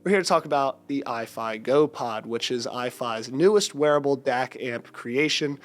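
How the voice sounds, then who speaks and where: A young man with a congested, stuffy voice, briskly presenting an upbeat scripted audio product review. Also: mid-range voice.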